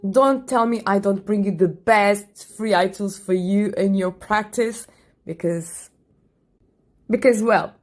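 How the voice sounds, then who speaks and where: A woman with a mother tongue, a teacher hosting an enthusiastic software tutorial voice-over. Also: on the high side. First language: Portuguese. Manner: enthusiastic, upbeat. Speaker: teacher and channel host.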